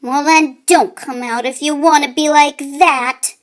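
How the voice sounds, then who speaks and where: A woman's voice: sits high, fairly even intonation, measured pace. A woman voicing a mischievous, sulky schoolboy in a children's storybook, petulant and exclamatory. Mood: hurt, sulky.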